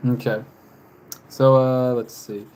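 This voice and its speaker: A man in his twenties chats casually on a live stream, taking his time with each thought. His words come out slowly and wander from point to point, broken up by easy little laughs. His voice sits at an ordinary middle pitch. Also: flat delivery, a little mellow. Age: 20s. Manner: rambling, laughs often, reads chat messages aloud. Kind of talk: casual chat while drawing on a live stream.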